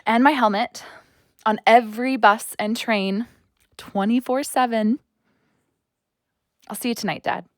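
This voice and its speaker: An earnest young woman as acted by a woman in an audio drama, restless and anxious. Her voice is fairly high and takes its time, recorded close and dry. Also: steady tone, measured pace, long pauses, no room sound, close to an everyday voice. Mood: fond, teasing. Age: young adult. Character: restless, anxious young daughter longing for adventure. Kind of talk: audio play acting.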